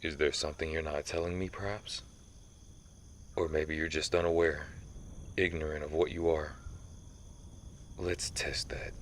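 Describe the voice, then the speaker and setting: Man playing a very deep-voiced, stern ancient vampire hunter. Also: a little hiss or hum, heavily acted. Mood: hushed, curious. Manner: slow, menacing, interrogating. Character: centuries-old male vampire hunter who is a vampire, stern, commanding. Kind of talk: scripted ASMR audio roleplay.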